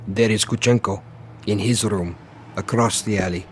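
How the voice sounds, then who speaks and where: A man's voice: fairly low pitch, steady tone, normal speed, slight background noise, natural voice. An actor playing a blunt, impatient Soviet henchman in a radio drama, speaking in terse statements.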